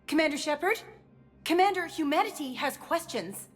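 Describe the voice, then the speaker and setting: An insistent, indignant newswoman of a sci-fi game. Her pitch is fairly high and swings widely. Soft music plays behind her. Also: animated, moderate pace. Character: pushy, indignant female news reporter in a sci-fi game.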